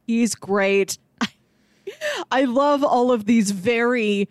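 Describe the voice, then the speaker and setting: A woman co-hosting a casual film discussion in a chatty, easygoing way, quick to laugh. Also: a little high, animated, dry recording, studio quality. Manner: chatty, laughs readily while talking. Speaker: podcast co-host.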